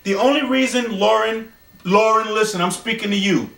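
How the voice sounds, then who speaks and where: A man telling an improvised story, with dramatic, deliberate phrasing and pauses. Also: high-pitched, gravelly, fairly bright tone. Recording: roomy echo, distant from microphone.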